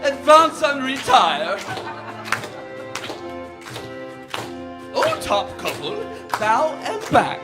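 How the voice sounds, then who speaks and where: Casting: beaming old merchant; very high, wildly swooping, slow hearty proclamations over loud music. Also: male voice, full character voice. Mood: festive. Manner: hearty chuckling, proclaiming salesman patter. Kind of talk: live radio-play stage acting.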